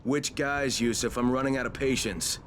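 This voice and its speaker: A man's voice: mid-range voice, normal speed, fairly bright tone, a little hiss or hum, close to an everyday voice. A man playing a tough young survivor in a video game, speaking in a firm, terse and insistent way. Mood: stern, threatening. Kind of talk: video game cutscene dialogue.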